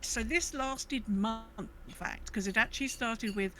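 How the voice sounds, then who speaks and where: A woman's voice: on the high side, unhurried, fairly bright tone, slight background noise. A British woman co-hosting a podcast, chatting casually and informally about a video game. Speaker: podcast co-host.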